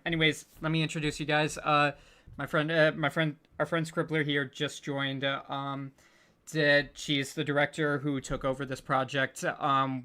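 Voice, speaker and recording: Bright-voiced man, halting and stammering. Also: medium pitch, clear, resonant tone. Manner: hesitant, stammering, stop-start delivery; apologetic, self-deprecating. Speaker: panel host, audio drama producer. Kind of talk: casual, unscripted panel talk.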